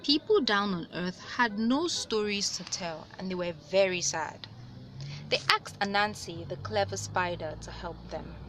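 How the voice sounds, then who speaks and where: A woman reading a story aloud as host, with animated delivery and dramatic, drawn-out emphasis on words. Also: medium pitch, background noise. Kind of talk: expressive read-aloud storytelling.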